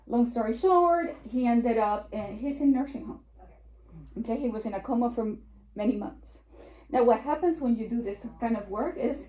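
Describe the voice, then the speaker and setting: A woman's voice: on the high side, mellow. Puerto Rican-accented woman speaking in an echoey room. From New York. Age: 40s. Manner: chatty, joking asides. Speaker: estate planning attorney. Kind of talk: seminar presentation.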